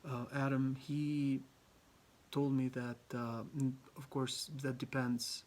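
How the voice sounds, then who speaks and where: A young man from Albania giving a class presentation, halting often with ums and restarts. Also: mid-range voice, little pitch movement, a little mellow. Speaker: student presenter.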